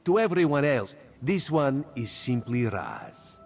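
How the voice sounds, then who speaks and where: Playful, secretive cat-man spy for a game, lively sing-song delivery, speaks of himself in third person, faint music behind. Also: mid-range voice, measured pace. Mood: sly. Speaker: spymaster quest giver. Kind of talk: video game character dialogue.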